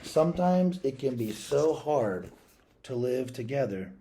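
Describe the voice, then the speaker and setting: A man voicing a caring, grave mother in a storybook, speaking in a slow, forceful way. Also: mid-range voice, big pitch swings, slight background noise.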